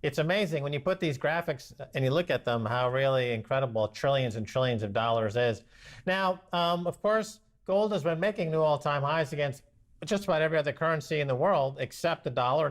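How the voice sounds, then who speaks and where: A male host leads a conversation on his show. His voice runs a bit high for a man. His questions wander and ramble before he gets to the point. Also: fairly quick.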